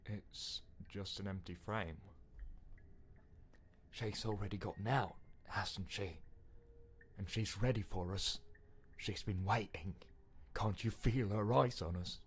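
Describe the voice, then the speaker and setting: A man's voice: fairly low pitch, slow. Paranoid game voice performed by a man: fearful, suspicious, anxious questioning, slight noise on the recording. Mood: panicked suspicion. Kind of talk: visual novel game character acting.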